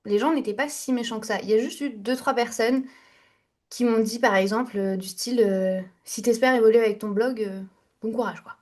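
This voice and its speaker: A woman's voice: a little high, brisk, a little mellow. A young woman guest on a podcast, telling her story in a candid, chatty way with fillers and restarts. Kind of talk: casual interview storytelling.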